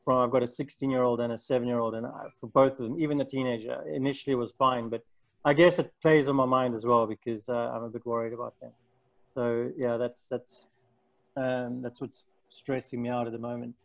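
A man from South Africa talking casually on a video call, halting and tired, with many ums. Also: medium pitch, steady tone, low-quality recording. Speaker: designer in a video call group. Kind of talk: casual chat.

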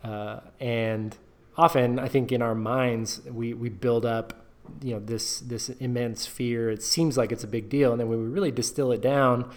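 A man's voice: medium pitch, fairly even intonation, moderate pace. A man hosting a podcast interview, chatting casually and encouragingly, with many fillers. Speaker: podcast host.

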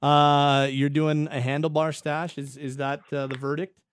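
Man hosting radio, slightly high voice, dry close sound, hesitant. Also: flat delivery, unhurried, clear, resonant tone, no room sound. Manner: easygoing, hesitant, stumbling restarts. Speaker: radio host. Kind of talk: casual radio chat.

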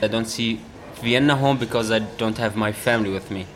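Earnest young Iraqi-born man, crowd behind. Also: on the low side. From Iraq. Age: 20s. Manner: halting, searching for words, earnest. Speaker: refugee tour guide. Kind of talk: personal interview.

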